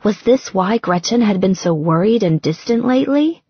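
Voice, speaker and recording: A woman's voice: medium pitch, animated, normal speed, husky, no room sound, lo-fi sound. A woman narrating romance fiction as a scripted audiobook.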